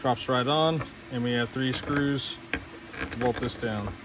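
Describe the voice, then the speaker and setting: Relaxed man explaining shop work. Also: medium pitch, measured pace, heavy background noise. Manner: relaxed, explains step by step with fillers. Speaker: machine shop owner. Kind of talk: casual workshop walkthrough. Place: machine shop beside a CNC mill.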